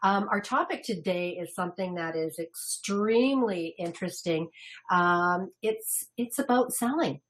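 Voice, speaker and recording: A woman's voice: on the low side, lively intonation, a little slow, fairly bright tone. A woman consultant presenting a salon business webinar in a chatty, upbeat way, laughing easily.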